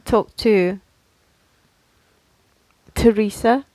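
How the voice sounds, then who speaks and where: A woman's voice: medium pitch, extremely slow, dark tone, no room sound, rough recording. A woman co-hosting a casual podcast, chatting in an effusive, rambling storytelling way. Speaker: podcast co-host. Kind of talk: casual chat.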